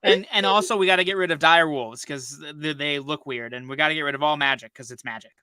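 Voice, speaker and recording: A man talks in a very bright, forward voice that sits a bit high. He sounds like a podcast co-host in casual conversation, slipping into imagined dialogue to make his case. The sound is dry, with no room around it. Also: fast, clean tone, no room sound, low-quality recording. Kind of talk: casual chat.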